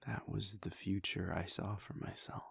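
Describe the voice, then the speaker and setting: A man voicing a weary, brooding male vampire prince in an intimate audio roleplay, speaking in a low husky whisper as a soft confiding monologue. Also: flat delivery, moderate pace, no room sound, studio quality, heavily acted. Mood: reflective.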